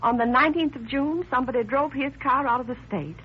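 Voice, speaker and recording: A woman's voice: high voice, quick pace, natural voice. An actress playing an anxious, insistent middle-aged mother in an old-time radio crime drama. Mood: worried, determined. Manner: fretful, insistent, emotional. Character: anxious, determined mother fearing for her missing son. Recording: muffled, noisy old radio recording.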